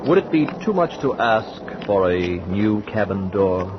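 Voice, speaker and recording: An actor playing a secretive, unsettling husband who speaks with cool, smooth formality in a 1940s radio drama. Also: male voice, lowish voice, very animated, measured pace. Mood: coolly menacing. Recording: dull noisy old radio recording.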